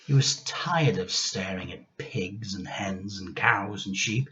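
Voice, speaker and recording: A man reading a children's storybook aloud like a teacher, with expressive, deliberate and emphatic delivery. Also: on the low side, highs cut off.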